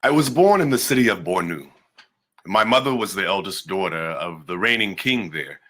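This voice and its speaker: A man's voice: low voice, lively intonation, normal speed, a bit bright. A man giving a dramatic reading as an African prince recounting his childhood. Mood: earnest. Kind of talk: dramatic reading of a historical narrative.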